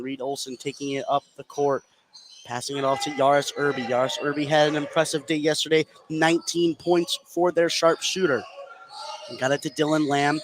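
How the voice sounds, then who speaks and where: A man doing live play-by-play basketball commentary for radio, fluently narrating the action from inside a gymnasium. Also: a little high. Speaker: play-by-play announcer. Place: indoor high school gymnasium. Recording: noisy gym ambience behind commentary. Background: gym noise.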